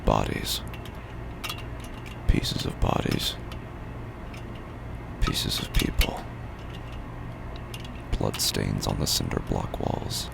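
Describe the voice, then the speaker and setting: An actor playing a broken, haunted man who narrates horror audio drama slowly and grimly, his gravelly voice often sinking into a whisper. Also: fairly even intonation, heavy background noise, heavily acted. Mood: grim, numb. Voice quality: very deep gravelly fry, often breaking into whisper. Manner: slow, grim, deliberate delivery.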